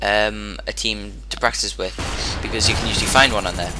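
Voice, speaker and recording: A man with a hoarse, sore-throated voice giving casual commentary over his own gameplay, rambling and often restarting his sentences. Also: on the low side, steady tone, a little fast, over loud music, rough recording. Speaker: gaming commentator.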